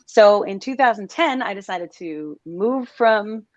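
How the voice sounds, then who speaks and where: A woman's voice: medium pitch, measured pace, dry recording. Danish-born woman, dry dull recording. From Denmark. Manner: relaxed, laughs often, trailing asides. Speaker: guest, country singer. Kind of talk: casual interview chat.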